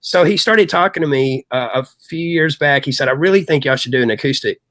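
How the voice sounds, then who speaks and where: A man's voice: on the high side, fairly quick, fairly bright tone. A middle-aged man telling casual anecdotes as a rock singer guest in a chatty, long-winded way.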